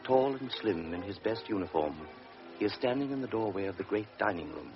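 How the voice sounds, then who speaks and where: A man narrating a literary radio drama in a deliberate, solemn manner. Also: on the low side, expressive. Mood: measured. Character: measured storyteller of a pre-war tale. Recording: dull vintage radio recording with music.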